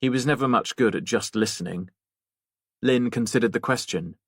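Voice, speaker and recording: A man's voice: medium pitch, normal speed, husky, no echo, studio quality. A man narrating a mystery novel as a scripted audiobook reading.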